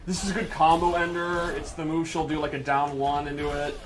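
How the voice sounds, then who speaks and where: A man's voice: on the high side, little pitch movement, fairly quick. A man giving informal, emphatic live commentary as he demonstrates a video game on a stream. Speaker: game developer presenter on a livestream.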